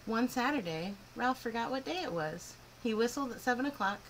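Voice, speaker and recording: A woman reading a picture book aloud to children in an expressive, clearly phrased storytelling style. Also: a little high, brisk, slight background noise, poor audio. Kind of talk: animated children's story narration.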